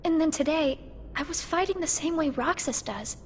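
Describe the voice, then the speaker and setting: A woman voicing a soft-spoken, caring, anxious teenage girl in a video game cutscene, speaking gently and earnestly. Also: high-pitched, moderate pace, a little hiss or hum, natural voice. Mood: earnest, unsure.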